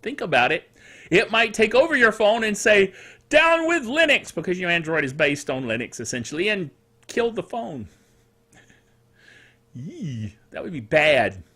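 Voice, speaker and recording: An older man hosting a casual solo tech show, rambling playfully like a self-styled curmudgeon, stretching words for emphasis and tossing in spoken sound effects. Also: sits high. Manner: animated, emphatic delivery with drawn-out words. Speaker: podcast host. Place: small home studio.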